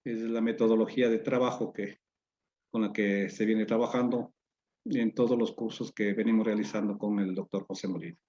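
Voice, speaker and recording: A man's voice: on the low side, steady tone, a little fast, dark tone. Hesitant male course moderator on a call. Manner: hesitant, many fillers and restarts. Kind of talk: moderating an online course introduction. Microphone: video call. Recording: dull lo-fi video call audio.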